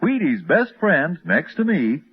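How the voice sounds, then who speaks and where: Lively American male vintage radio announcer. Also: a little high, unhurried, slightly rough. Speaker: radio announcer, commercial pitchman. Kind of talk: old-time radio ad banter. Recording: muffled vintage radio broadcast.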